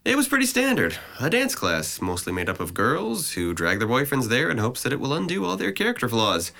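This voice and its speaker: A man's voice: medium pitch, huge pitch swings, brisk, creaky, bright, forceful tone, exaggerated voice. A man playing a depressed, sardonic twenty-one-year-old in an audio drama, with a dry, articulate delivery. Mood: sardonic, bitter. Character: sardonic, depressed young man, resentful and withdrawn.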